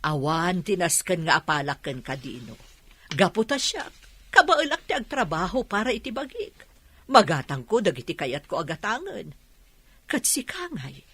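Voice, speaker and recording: A woman's voice: medium pitch, big pitch swings, normal speed, fairly bright tone, no room sound. A woman playing a bitter, reproachful sister in an audio drama. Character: bitter, reproachful sister scolding her spoiled brother. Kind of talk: Filipino audio drama acting.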